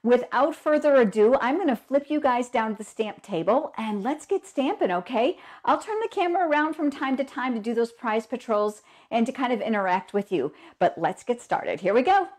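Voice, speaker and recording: A woman's voice: fairly high pitch, expressive, moderate pace, hardly any pauses. A woman with a cold hosts a casual live stream, chatting along, greeting viewers by name, her voice a little froggy. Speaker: host of a live stamping show.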